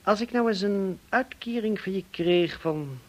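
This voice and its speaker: An actor in a radio play playing a smug, insinuating blackmailer with a mocking, drawling, falsely polite manner. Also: male voice, high voice, unhurried. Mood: sly. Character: smug, insinuating male blackmailer, cousin of the dead woman.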